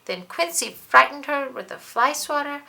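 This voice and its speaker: Lady reading a storybook out loud, bright voice w some creak, expressive narrator style. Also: high voice, normal speed. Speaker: children's book reader.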